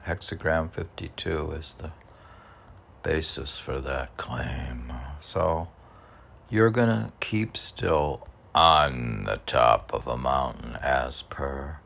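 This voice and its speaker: Slow, gravelly low-voiced man. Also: a little hiss or hum. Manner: halting, muttering to himself, musing. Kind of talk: casual rambling talk while reading poems aloud.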